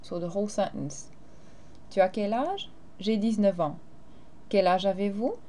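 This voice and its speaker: A woman's voice: medium pitch, lively intonation, unhurried, dark tone. A teacher, a woman, explaining grammar and carefully modeling phrases in a recorded lesson. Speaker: French teacher.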